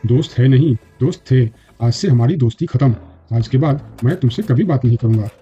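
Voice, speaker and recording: A man's voice: mid-range voice, fairly quick, dark tone, breathy edge, highs cut off. Man as proud, domineering cartoon lion king. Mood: angry, commanding. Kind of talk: children's cartoon voice acting.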